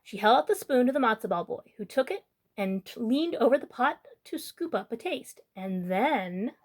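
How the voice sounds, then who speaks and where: Female storytime host, expressive children's reading, very varied intonation, raised pitch. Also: moderate pace.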